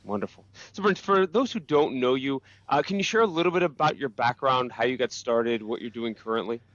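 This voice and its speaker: A man's voice: medium pitch, fairly quick, fairly bright tone, no room sound, muffled recording. A man hosting a live show, asking questions in a friendly, upbeat way. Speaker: host. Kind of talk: live interview.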